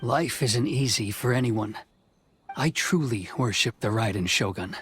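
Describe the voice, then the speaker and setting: An actor voicing a stern young male official in a video game, speaking in a formal, measured, reserved way. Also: medium pitch, fairly bright tone, lightly acted. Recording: game soundtrack music faint behind.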